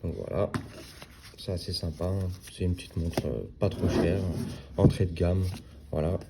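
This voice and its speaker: A man's voice: low voice, fairly even intonation, normal speed, gravelly, very noisy. A man in his thirties giving a casual, hesitant unboxing review of a watch, with many fillers and restarts. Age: 30s.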